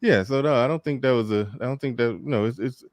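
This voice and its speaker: A man's voice: medium pitch, fast, darkish tone, dry recording. A man hosting a live call-in debate show, talking casually with lots of stammered restarts. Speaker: host.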